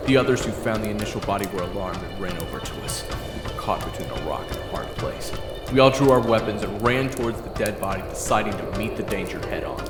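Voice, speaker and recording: A man with a lowish voice narrates a roleplay story to the listener in a steady storytelling manner. The voice rings out with heavy room echo, and music plays clearly beneath it. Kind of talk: second-person roleplay narration. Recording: very echoey with music. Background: background music.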